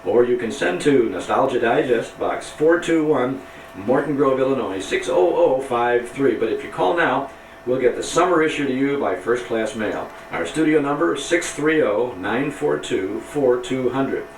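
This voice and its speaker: A man's voice: medium pitch, lively intonation, normal speed. Echoey, distant American man hosting radio live. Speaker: radio show host. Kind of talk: live broadcast hosting and interviewing. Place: back platform of old passenger railcar. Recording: echoey distant camcorder audio, faint railyard noise. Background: faint train and outdoor ambience.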